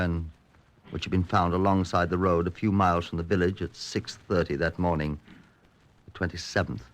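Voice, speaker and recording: A British man playing a grim, dry police chief inspector in a measured, understated radio drama style. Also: low-pitched, a bit bright. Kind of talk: 1950s radio drama acting and narration.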